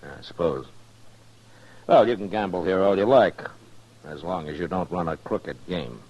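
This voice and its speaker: Casting: unflappable western lawman, low gravel-edged husky voice, dry authority, 1950s radio drama. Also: moderate pace, a little mellow. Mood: stern. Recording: muffled old 1950s radio recording.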